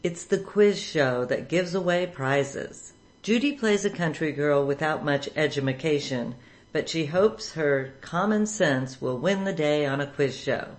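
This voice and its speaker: A woman's voice: sits low, unhurried. A woman hosting a radio programme, reading a scripted introduction. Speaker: radio show host.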